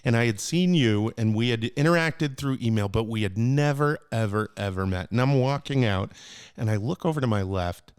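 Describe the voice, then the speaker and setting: Male podcast host, friendly interviewer, low resonant voice, dry close sound. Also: normal speed, clear, resonant tone, no room sound. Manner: friendly, encouraging, asks questions. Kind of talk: casual conversational interview.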